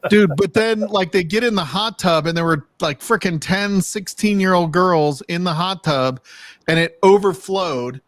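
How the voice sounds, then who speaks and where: A man's voice: high-pitched, measured pace, fairly bright tone, dry recording. A middle-aged man bantering and telling stories casually, with plenty of "dude," "like" and some swearing. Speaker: livestream co-host.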